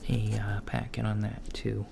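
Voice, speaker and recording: A man voices a calm, attentive alien doctor who speaks softly and hesitantly, pausing on ums and slipping into whispers. The voice is heavily gravelly and holds a flat, level pitch. A steady hiss runs under the lo-fi recording. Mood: gentle. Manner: soft-spoken, unhurried, slipping into whispers. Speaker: ASMR roleplay creator. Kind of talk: softspoken ASMR roleplay.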